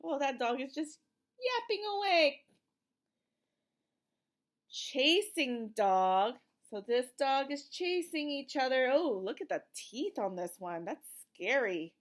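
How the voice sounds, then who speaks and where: Female children's read-aloud teacher, animated swoopy intonation, unhurried, encouraging question-and-answer delivery. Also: high-pitched. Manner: simple, encouraging prompts with questions and slow, emphatic reading. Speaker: teacher reading a picture book to young children.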